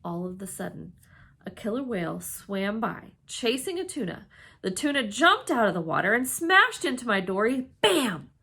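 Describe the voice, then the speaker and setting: A woman reading a children's storybook aloud in an expressive, dramatic way, with emphatic exclamations and hushed suspense. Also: mid-range voice, moderate pace, creaky, breathy edge. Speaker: storybook reader.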